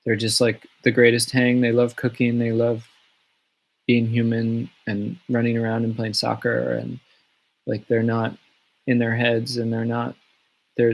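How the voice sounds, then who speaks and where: Laid-back man with a gravelly, husky voice. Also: mid-range voice, low-quality recording. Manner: relaxed, rambling, many fillers and restarts. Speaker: musician answering fan questions. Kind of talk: casual live Q&A. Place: home studio in the countryside. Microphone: webcam or phone on a livestream.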